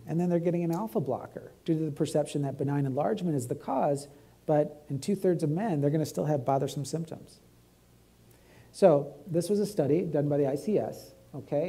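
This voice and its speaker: Gravelly man giving a medical lecture. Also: on the high side, dark tone. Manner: conversational, asks "right?" often. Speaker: urologist lecturer. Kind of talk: medical grand rounds lecture. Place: dim lecture hall with a stage and podium.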